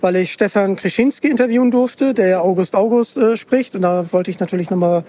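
High-voiced man hosting a podcast. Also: brisk, warm tone. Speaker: podcast host, interviewer. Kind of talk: casual interview hosting. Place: outdoors at a book fair.

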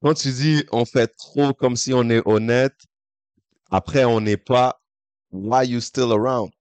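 A man's voice: medium pitch, fairly even intonation, slow, a little mellow, smooth, no room sound. Male co-host, street slang in two languages, close and dry on the mic. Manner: slangy, switching between French and English. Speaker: podcast co-host. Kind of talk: casual chat.

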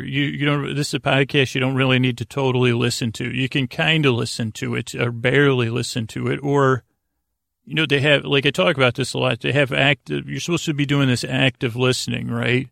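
A man with a creaky voice hosting a bedtime podcast, talking in a meandering, halting, soothing monologue that circles back on itself. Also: mid-range voice, fairly even intonation, fairly bright tone, dry recording, clean studio sound. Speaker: host of a bedtime story sleep podcast. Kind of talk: rambling, soothing monologue to the listener.

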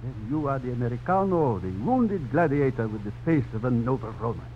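A man's voice: mid-range voice, animated, a little fast, lightly acted. An actor playing a courtly older governor and fond father, formal and genial, in a 1950s radio drama. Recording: muffled old radio broadcast, faint hum.

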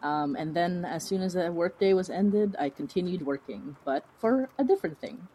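A woman's voice: fairly low pitch, animated, moderate pace, mellow, slightly rough. A woman chatting casually as an interview guest, rambling and informal, with a self-deprecating way of talking. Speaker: fiction podcast creator, interview guest.

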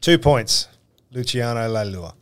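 A man's voice: mid-range voice, animated, measured pace, clear, resonant tone. A man co-hosting a sports podcast, chatting casually and rambling about players with easy laughs. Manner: laid-back, rambling, laughs easily. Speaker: podcast co-host.

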